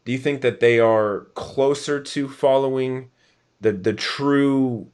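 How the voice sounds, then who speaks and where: A man's voice: medium pitch, steady tone, takes it slowly, darkish tone, clean tone. A man interviewing in a conversational way, phrasing his questions tentatively and in a roundabout manner.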